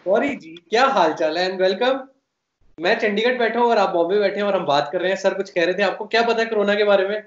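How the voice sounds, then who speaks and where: Male vlog host, high voice, effusive and colloquial, recorded in a roomy, echoing space. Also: fast. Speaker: vlogger and interviewer. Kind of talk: casual chatty vlog hosting. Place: at home, on a video call. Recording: echoey room, dull noisy sound.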